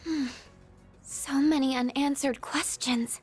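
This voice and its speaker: A woman playing a gentle, tentative teenage schoolgirl in an anime-style game dub. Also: high-pitched, steady tone, slow pace, faint music behind. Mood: worried. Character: gentle, hesitant, tech-savvy teenage schoolgirl.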